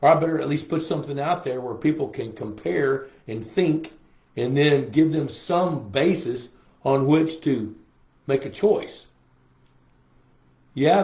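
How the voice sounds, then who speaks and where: Older guy, hoarse, rambling folksy livestream chat in an echoey room. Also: medium pitch, lively intonation, measured pace, darkish tone. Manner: chatty, folksy, reads viewer comments aloud. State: hoarse from a lingering cough. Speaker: host. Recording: roomy echo on a live stream.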